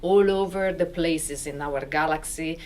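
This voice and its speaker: A middle-aged woman from Italy with an Italian accent, speaking on a university panel in animated, non-native English and sometimes searching for words. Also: low voice, unhurried, slight background noise. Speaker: physicist on a panel. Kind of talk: panel introduction. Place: university lecture room with audience. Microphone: handheld mic.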